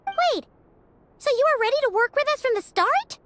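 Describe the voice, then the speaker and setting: A woman voicing a small, excitable, childlike fairy companion in a video game, exclamatory and nosy. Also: extremely high pitch, moderate pace, noisy background. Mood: startled. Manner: refers to self in third person, exclamatory. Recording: music behind the voice.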